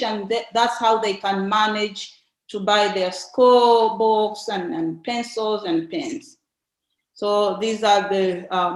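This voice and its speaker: Slow-speaking Tanzanian woman, echoey room. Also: fairly high pitch. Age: young adult. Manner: halting, frequent pauses and repeated words. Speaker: invited speaker, nun. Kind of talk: informative slide presentation talk. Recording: echoey, roomy sound.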